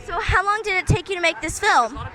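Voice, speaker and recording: A woman's voice: extremely high pitch, expressive, fast talker, pushed and bright. A teenage girl interviewing filmmakers as a kid film critic, enthusiastic and full of gushing praise. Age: teens. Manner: enthusiastic, gushing, filler-heavy. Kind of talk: red-carpet interview.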